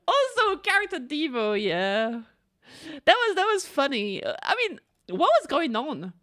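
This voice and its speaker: High-pitched, animated young woman streamer. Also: a little slow. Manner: expressive, joking, slangy, swearing. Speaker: streamer reacting to videos. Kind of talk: casual live-stream reaction commentary.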